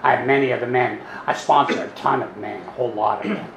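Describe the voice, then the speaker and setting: An older man with a gravelly, rough voice and a drawl, talking quietly to a meeting. He rambles, restarts sentences and pokes fun at himself. His voice rings around an echoey hall with a faint hum of background noise. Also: medium pitch, steady tone, fast talker. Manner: soft-spoken, self-deprecating, meandering with restarts. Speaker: guest speaker at a recovery meeting. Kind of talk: personal talk to a meeting.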